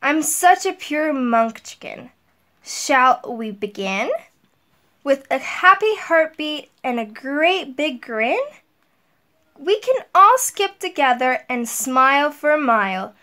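High-voiced middle-aged woman, slow and simple delivery for children, school counselor style. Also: big pitch swings, sharp tone. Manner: deliberate, simple explaining to children. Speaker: elementary guidance counselor. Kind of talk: children's storybook read-aloud and talk to pupils. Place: indoors, small room at home. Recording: roomy echo, distant mic.

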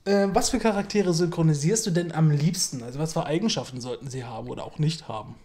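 A man's voice: on the high side, fast talker, warm tone. A young man hosting an interview, asking questions in a casual, chatty way. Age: young adult. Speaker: interviewer, host. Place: indoor room, seated by a glazed door. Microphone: small handheld recorder held in his hand.

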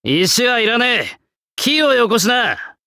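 An actor playing a gruff swordsmith, an old soul in a young man's body, with blunt old-fashioned artisan speech in a game. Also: very high-pitched, big pitch swings, slow, sharp tone, no room sound, clean studio sound, exaggerated voice. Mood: battle-ready, resolute. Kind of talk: Japanese game character voice lines.

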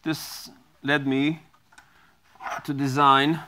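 Middle-aged man, very slow talker, halting with ums, product demo, lapel mic, small studio. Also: medium pitch, flat delivery, noisy background. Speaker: product designer demonstrating his device. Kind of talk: product demonstration.

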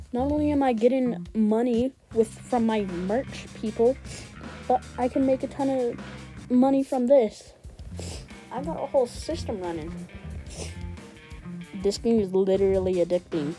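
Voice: medium pitch, warm tone. A gamer giving casual, slangy gameplay commentary and often repeating words and phrases. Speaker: gaming video host.

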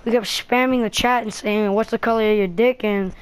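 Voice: mid-range voice, steady tone, brisk, slight background noise. A young streamer chatting casually over gameplay in a slangy, rambling way. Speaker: gaming streamer.

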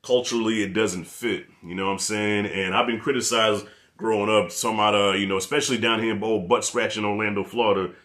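A man in his thirties with a New York accent, giving a casual, profane, animated review rant to camera in his living room. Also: on the low side, brisk. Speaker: movie reviewer.

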